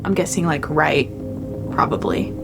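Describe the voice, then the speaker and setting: Woman player over clearly audible music. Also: low-pitched, moderate pace, low-quality recording. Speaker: player in a tabletop game. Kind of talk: casual game chat.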